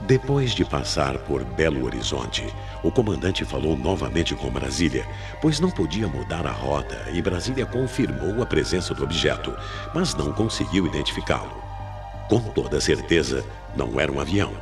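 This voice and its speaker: Male narrator, Brazilian accent, extremely low pitch, solemn, theatrical mystery radio style, deliberate phrasing, clear music bed. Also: very animated.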